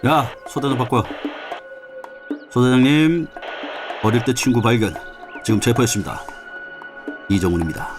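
A man playing a loud, pushy young plainclothes riot cop who talks nonstop, in a radio drama. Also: medium pitch, moderate pace, pauses often, lightly acted. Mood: boisterous. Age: young adult. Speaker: plainclothes police. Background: background music.

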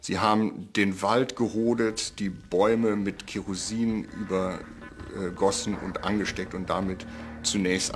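A man giving unscripted expert commentary in a documentary interview. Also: low-pitched.

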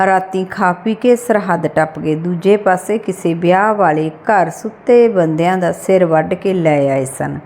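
A woman's voice: on the low side, darkish tone, slight background noise. A woman reading a scripted short story aloud as an audiobook narrator.

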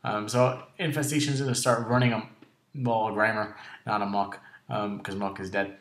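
A man with a raspy, sore voice, slightly short of breath, narrating a video game battle in a casual, halting way. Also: lowish voice, steady tone, roomy sound. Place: indoor room at home.

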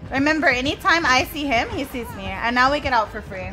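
A woman's voice: high-pitched, expressive, a little fast, background noise. A woman whose first language is Spanish, streaming live gameplay and chatting with her viewers while she plays. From Mexico. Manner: chatty, reads and answers chat while playing.

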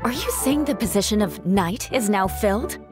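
A woman's voice: medium pitch, lively intonation, moderate pace, over music, natural voice. A woman voicing a proud, cool swordswoman in a video game, haughty and dry but kind underneath. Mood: indignant, then satisfied. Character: proud, cool, curt swordswoman with hidden kindness.

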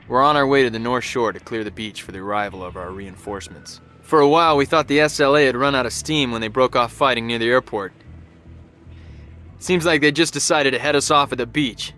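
An actor playing a cynical, battle-weary soldier narrating a war journal in a video game, in a wry, colloquial way. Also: male voice, mid-range voice, sharp tone, close to an everyday voice. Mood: wry, scornful.

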